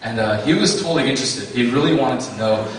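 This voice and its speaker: A young man giving a testimony to an audience, speaking haltingly with many fillers. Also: medium pitch, slight background noise. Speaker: conference attendee giving testimony. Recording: very echoey hall, distant mic.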